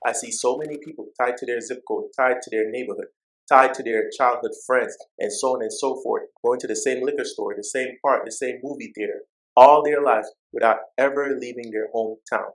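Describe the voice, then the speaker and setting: Jamaican-accented man, mid-pitched finance YouTuber, motivational and direct, leans on repeated phrases. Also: fairly even intonation, brisk, poor audio. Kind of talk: motivational talk to camera.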